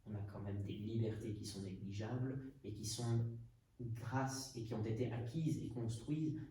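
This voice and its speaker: A man's voice: on the low side, moderate pace, mellow. A man from Portugal hosting a podcast conversation, thinking aloud and hesitating often. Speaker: podcast host. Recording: echoey room, mic at a distance, faint noise.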